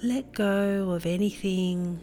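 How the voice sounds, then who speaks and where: A woman narrating a guided meditation in a gentle, soothing manner with deliberate phrasing. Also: medium pitch, soft background music. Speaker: meditation guide and podcast host.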